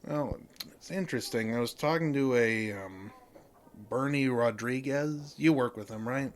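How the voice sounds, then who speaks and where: An actor playing a casual, quietly suspicious police investigator in an audio drama, questioning in an offhand, hesitant way. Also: male voice, medium pitch, measured pace, slight background noise, close to an everyday voice. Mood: probing, casual.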